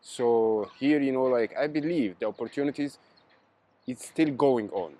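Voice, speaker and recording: A man's voice: medium pitch, a little slow, mellow. A man in his twenties from Egypt, an intern doctor answering interview questions outdoors, hesitant, filling with "you know, like". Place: outdoors in a park.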